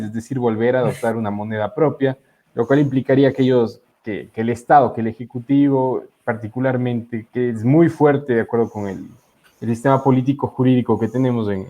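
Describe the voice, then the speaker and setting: Young man from Ecuador, hesitant explainer, mellow dark tone, dull lo-fi call audio. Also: mid-range voice. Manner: explanatory, hesitant with many fillers. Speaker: guest, law student and correspondent. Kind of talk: casual interview talk.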